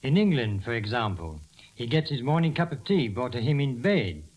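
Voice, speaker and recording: A man's voice: medium pitch, very animated, moderate pace, highs cut off. A man speaking as a butler guest in a scripted 1930s radio talk, formal and deferential, on an old hissy recording.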